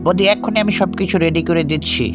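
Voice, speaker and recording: High-pitched pushy poultry seller, acted by a man. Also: rapid-fire, loud music behind the voice, close to an everyday voice. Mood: insistent. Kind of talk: children's cartoon voice acting.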